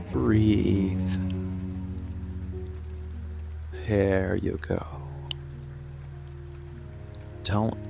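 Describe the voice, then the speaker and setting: A man playing a possessive, protective monster lover in dark boyfriend ASMR, hushed and gravelly, often slipping into whispers. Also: low voice, exaggerated voice. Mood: soothing, protective. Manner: hushed, breathy, slow soothing menace, often whispering. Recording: music and rain bed behind voice. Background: music, rain sounds.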